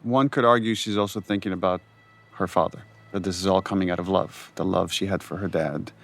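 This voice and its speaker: A man's voice: low voice, fairly even intonation, a little fast, gravelly, fairly bright tone, soft background music. A man giving conversational director's commentary, explaining things in an analytical way.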